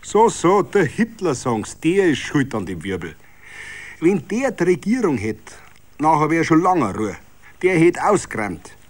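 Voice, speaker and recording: A self-assured zealot at a Bavarian tavern table, voiced by a man reading all the parts. He argues stubbornly in colloquial dialect, his voice pushed up high and swinging with conviction, in radio drama style. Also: sing-song, measured pace. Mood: smug.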